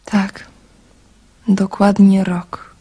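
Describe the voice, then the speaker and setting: A woman's voice: mid-range voice, completely flat delivery, extremely slow, dark tone, husky. A woman playing a young lovesick girl in a radio drama, anxious and wistful, confiding her story with emotion. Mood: dejected.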